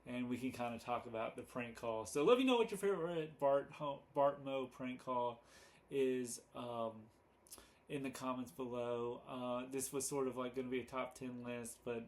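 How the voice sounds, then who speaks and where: A man hosting a casual, unscripted fan commentary video, halting and rambling as he goes, often correcting himself. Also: medium pitch, darkish tone. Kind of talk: casual unscripted chat to camera. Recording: noticeable background noise.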